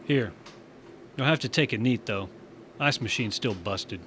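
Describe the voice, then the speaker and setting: A man's voice: lowish voice, measured pace, very noisy, lightly acted. An actor playing an easygoing, wry male space station bartender with a casual way of talking. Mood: easygoing, concerned.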